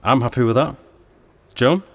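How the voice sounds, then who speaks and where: An actor playing a conciliatory but insistent theatre founder in an audio drama, speaking persuasively. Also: male voice, medium pitch, moderate pace, fairly bright tone. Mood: agreeable.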